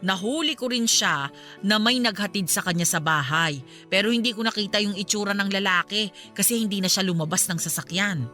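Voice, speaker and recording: Bright-voiced gossiping Filipina mother played by an actress. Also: medium pitch, fairly quick, soft background music, lightly acted. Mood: suspicious, gossipy. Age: middle-aged. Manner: chatty, conversational. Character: lonely, suspicious middle-aged Filipina mother gossiping with friend. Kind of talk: radio drama dialogue.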